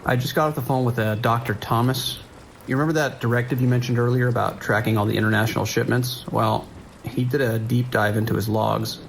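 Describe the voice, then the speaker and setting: A male actor as a steady sheriff's dispatcher on a long night shift. He has an American accent and a creaky, mid-pitched voice. His delivery is measured and tired, by the book, with faint rainy noise behind him. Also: lightly acted, low-quality recording. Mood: concerned, measured. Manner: measured, procedural, hesitant pauses. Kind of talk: naturalistic audio drama acting.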